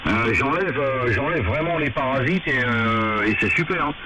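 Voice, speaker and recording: Man through loud radio noise. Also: medium pitch, expressive, moderate pace. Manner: hesitant, repetitive, informal. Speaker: amateur radio operator. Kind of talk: casual radio contact chat. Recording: very noisy radio transmission.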